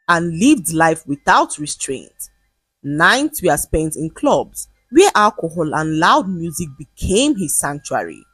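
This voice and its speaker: Expressive woman narrator with animated intonation. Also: on the low side, breathy edge, no echo. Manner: expressive, dramatic storytelling. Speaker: storyteller, narrator. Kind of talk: scripted dramatic folktale narration.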